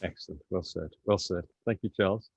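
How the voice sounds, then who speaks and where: A man moderating a webinar panel, friendly, with halting restarts. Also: low voice, a little mellow, husky, no room sound. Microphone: video call. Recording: dry, dull lo-fi call audio.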